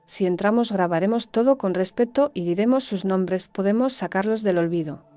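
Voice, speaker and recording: A woman's voice: mid-range voice, normal speed, dark tone, lightly acted. A woman playing a principled, cautious livestream sound technician in a horror audio story, serious and matter-of-fact. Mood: serious, firm.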